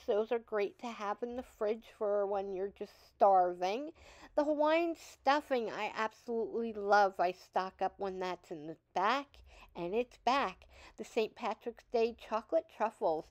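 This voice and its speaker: A woman's voice: mid-range voice, darkish tone, no echo. A middle-aged woman hosting a shopping vlog, chatting in an upbeat, enthusiastic voice-over. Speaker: vlog host.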